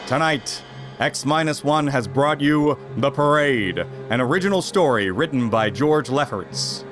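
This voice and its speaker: A man's voice: mid-range voice, unhurried. 1950s-style male radio announcer over music.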